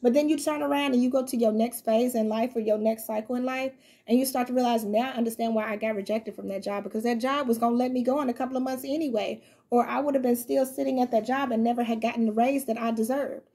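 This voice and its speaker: A young woman with a slightly high, breathy voice gives a casual tarot reading to the camera. She chats loosely with her viewers and reads guidebook passages aloud. She sits on her living room couch a few feet from the camera. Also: steady tone, fast, mellow. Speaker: tarot reader. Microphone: fixed camera a few feet away.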